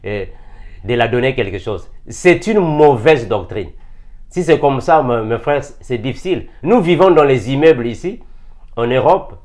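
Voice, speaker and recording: A man delivers a sermon. His voice sits a little high, and he drives his points home with repeated phrases and pointed rhetorical questions. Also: a little mellow. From Africa. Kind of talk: impassioned sermon addressed to fellow believers.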